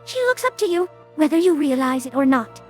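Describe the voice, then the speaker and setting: Prim, fussy lady unicorn, high-pitched and theatrically lilting, formal and genteel, for cartoon audio drama. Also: big pitch swings, a little fast, soft background music. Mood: probing. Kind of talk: animated cartoon-style audio drama dialogue.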